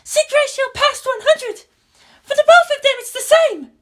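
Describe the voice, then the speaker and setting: Young female technician, squeaky, breathless and easily astonished, very high voice, audio story. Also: moderate pace, fairly bright tone. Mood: amazed.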